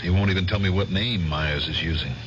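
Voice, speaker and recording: A haunted war veteran nearing forty, played by a man in an old radio drama. He talks in a lowish, halting, troubled voice. The broadcast sounds dull, with clear hiss behind it. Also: close to an everyday voice. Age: 30s. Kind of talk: 1970s radio drama acting.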